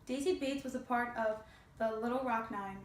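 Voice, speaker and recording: A young woman reads as a student reporter in a fairly high voice. The recording is roomy and echoing, with some faint background noise. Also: moderate pace. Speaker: student news reporter and interviewer. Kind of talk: scripted news report.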